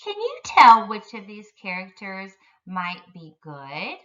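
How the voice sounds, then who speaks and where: A woman teaches young children in careful, simple phrases at an unhurried pace. Her delivery swings up and down in pitch. The recording is a little dull, with the mic at some distance. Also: wildly swooping pitch, a bit bright. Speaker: elementary school teacher. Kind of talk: instructional video lesson for young children.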